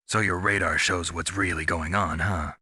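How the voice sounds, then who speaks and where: An actor playing a gruff, world-weary covert soldier in a video game, terse and deadpan with a gravelly voice. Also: male voice, low voice, normal speed, dry recording, clean studio sound, full character voice. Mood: curious, matter-of-fact. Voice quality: gravelly, husky. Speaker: soldier on a mission. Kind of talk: scripted video game voice acting.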